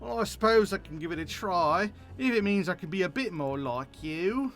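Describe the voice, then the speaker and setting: Man voicing a timid, high-voiced gloomy student. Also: lively intonation, normal speed, a bit bright, soft background music. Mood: hesitant, gloomy. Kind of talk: game dialogue read aloud.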